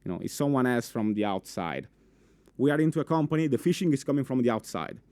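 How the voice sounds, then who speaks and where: A young man with an Italian accent giving a live conference talk in a full room, animated, with many fillers and restarts, asking the audience questions. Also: medium pitch, fast, no echo. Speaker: conference speaker.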